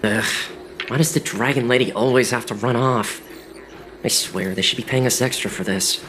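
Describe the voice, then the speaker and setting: A man's voice: medium pitch, moderate pace, fairly bright tone, slight background noise, lightly acted. A man voicing a grumbling, uneasy guard who complains about his duty in a video game. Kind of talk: game voice acting. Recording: game music behind the voice.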